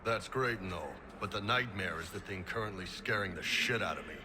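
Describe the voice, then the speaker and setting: A blunt, wry adventurer from a fantasy game, voiced by a man. His voice is deep and his delivery casual and sarcastic, with noticeable background noise. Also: brisk, close to an everyday voice. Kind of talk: video game voice acting.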